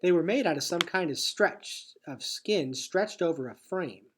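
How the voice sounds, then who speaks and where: A man reading a children's adventure novel aloud in an expressive, dramatic storytelling style. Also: fairly high pitch, measured pace, a little hiss or hum.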